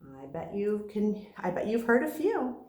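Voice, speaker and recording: A woman teacher reading a picture book aloud to young children in an expressive, deliberate way. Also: mid-range voice. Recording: roomy, echoing sound.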